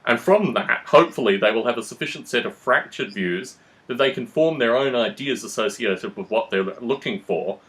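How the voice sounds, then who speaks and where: A man's voice: medium pitch, lively intonation, normal speed, fairly bright tone, poor audio. A man hosting a conversational discussion, explaining his views in a long-winded, analytical way. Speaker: podcast host.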